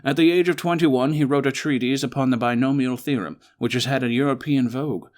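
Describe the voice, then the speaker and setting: A man's voice: medium pitch, a little fast, a little mellow, natural voice. A man playing a brilliant, weary but resolute Victorian detective in a one-man audio drama, articulate, formal and emphatic. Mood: intense, emphatic.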